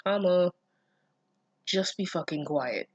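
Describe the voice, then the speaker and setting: A grim young survivor of a zombie story, read out by a woman. The voice is fairly low and dry, with no room echo. The delivery is terse, brooding and possessive, with quiet menace. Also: slow pace, muffled recording. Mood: harsh, impatient. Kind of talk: amateur story reading.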